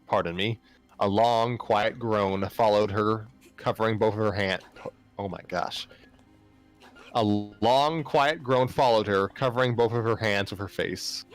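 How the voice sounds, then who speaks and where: A man's voice: lowish voice, rough recording. A man narrating fan fiction aloud as an amateur reader, sometimes stumbling and rereading phrases. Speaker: story narrator.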